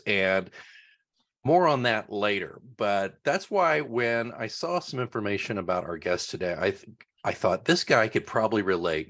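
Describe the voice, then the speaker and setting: A man's voice: fairly low pitch, a bit bright. A middle-aged man hosting a business podcast, making earnest, friendly appeals to listeners and introducing his guest. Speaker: podcast host. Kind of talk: podcast host talk.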